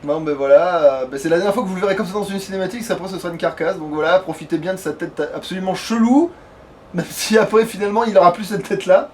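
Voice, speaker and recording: High-voiced man doing casual game commentary. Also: quick pace, a little hiss or hum. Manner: rambling, playful, mildly foul-mouthed. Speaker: let's player, playing and hosting the video. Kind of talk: casual let's play commentary.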